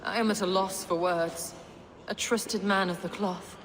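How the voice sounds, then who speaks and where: Actress as a slow, solemn medieval nun. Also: female voice, medium pitch, fairly even intonation, close to an everyday voice. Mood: grieving, solemn. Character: grieving, devout, gracious medieval nun. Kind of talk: video game cutscene dialogue. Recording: long echo, clear ambient noise.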